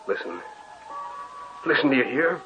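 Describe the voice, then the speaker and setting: An actor playing a haunted, sleepless man losing his mind, speaking with feverish, halting repetition in old-time radio horror style. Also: medium pitch, big pitch swings, music in the background. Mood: grim obsession. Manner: intense, halting, repeats words feverishly. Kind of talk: 1940s radio horror acting. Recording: muffled old radio recording.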